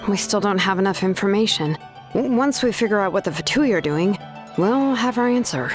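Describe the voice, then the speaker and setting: A woman playing a calm, analytical young female strategist in a video game, measured and thoughtful. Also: medium pitch, faint music behind, lightly acted. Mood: thoughtful, composed. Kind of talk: video game character acting.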